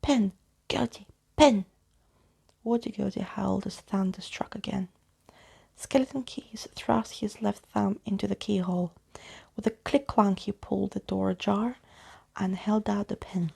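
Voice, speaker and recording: A woman reading a story aloud in a soft ASMR style, hushed and often half-whispering. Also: medium pitch, fairly even intonation, moderate pace, vocal fry, slightly rough.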